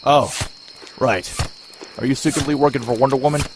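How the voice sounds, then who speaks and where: A man playing a brash, sarcastic young male antihero in an animated comic adventure. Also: medium pitch, fairly quick, background noise, lightly acted. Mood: sardonic, defensive. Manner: sarcastic, sardonic.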